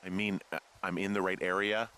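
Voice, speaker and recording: Laconic male fire lookout, slightly deep, guarded, dry humour, naturalistic video game dialogue, light background ambience. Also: little pitch movement, fairly quick, pushed and bright, a little hiss or hum, poor audio. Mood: unsure.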